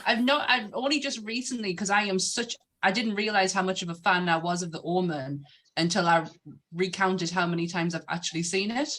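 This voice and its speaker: A woman's voice: mid-range voice, brisk, a bit bright. A woman talking casually in a group podcast conversation, telling anecdotes as a guest.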